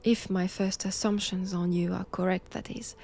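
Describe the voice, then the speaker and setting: A woman with a French accent playing a stern, cold vampire-hunting nun in an intimate audio roleplay, measured and commanding. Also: fairly low pitch, fairly even intonation, gravelly, a little mellow, faint music behind. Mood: clinical, controlled.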